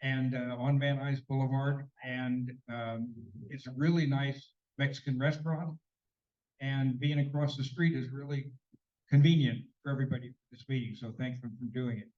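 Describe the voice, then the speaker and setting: A man co-hosting a neighborhood homeowners' meeting in a senior center hall, talking haltingly with many fillers and a slight cold. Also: medium pitch, fairly even intonation, lo-fi sound. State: sneezing, slightly congested. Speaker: meeting co-host.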